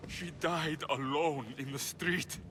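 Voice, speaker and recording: An actor playing a grief-stricken, furious young Greek mercenary with an intense delivery in a video game drama. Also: male voice, fairly high pitch, fairly even intonation, slow, big-room sound, background noise. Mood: grieving. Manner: intense, forceful.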